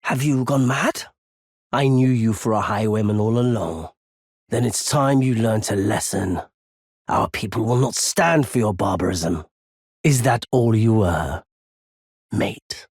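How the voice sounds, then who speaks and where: A husky, slow-spoken wandering merchant. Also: male voice, lowish voice, animated, a little mellow, dry recording, studio quality, exaggerated voice. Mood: indignant. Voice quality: husky, gravelly. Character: wary, courteous nomadic male merchant turned bitter and vengeful. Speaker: video game voice actor. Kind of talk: scripted game character lines.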